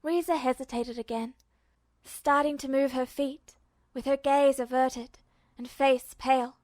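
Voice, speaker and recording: Woman narrator, high voice, dry close recording, emotive measured audiobook reading. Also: fairly even intonation, darkish tone, no echo. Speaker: podfic reader. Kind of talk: audiobook narration of fan fiction.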